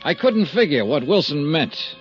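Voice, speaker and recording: An actor playing a brash, wisecracking freelance insurance investigator in a 1950s radio drama, with punchy, wry delivery. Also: male voice, a little high, very animated, moderate pace. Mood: brisk narrating. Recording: dull 1950s radio recording, music underneath.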